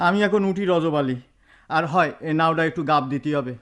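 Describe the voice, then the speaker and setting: An actor playing a friendly, plain-spoken village neighbour in a radio drama, with a rural Bangladeshi accent. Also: male voice, on the high side, fairly quick, fairly bright tone, natural voice.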